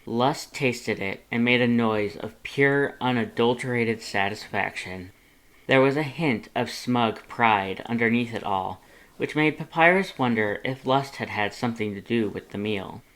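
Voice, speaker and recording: A man's voice: medium pitch, measured pace, a bit bright. A man reading scripted story narration as a fan fiction narrator.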